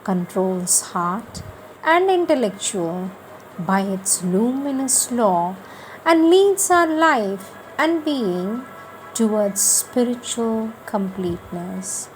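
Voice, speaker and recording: Slow, deliberate woman reading devotional text. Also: medium pitch, expressive, slight background noise, rough recording. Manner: slow, deliberate, measured phrasing with pauses. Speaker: reader of spiritual texts.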